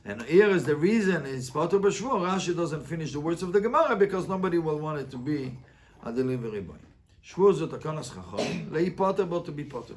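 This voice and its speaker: Man, rabbi teaching, somewhat high voice, emphatic, question-driven, repetitive phrasing, line-by-line text explanation. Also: expressive, fairly quick. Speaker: rabbi teaching a Talmud class. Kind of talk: interactive lesson, reading and translating a text line by line.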